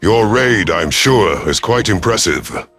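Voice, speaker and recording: A man voicing a proud, calculating veteran alien rebel leader in a sci-fi audio story, measured, formal and imperious. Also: lowish voice, animated, a bit bright, soft background music, exaggerated voice. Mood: calculating pride.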